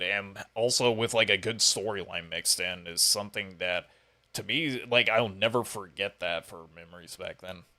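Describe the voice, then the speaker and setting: A man's voice: on the low side, bright, forceful tone. A man hosting an interview podcast, talking in a casual conversational style.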